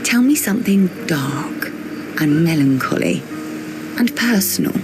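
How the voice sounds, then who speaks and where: Guarded young female teacher, wry, teasing, lowish voice, measured game cutscene delivery, clear music underneath. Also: lively intonation. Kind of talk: naturalistic video game cutscene acting.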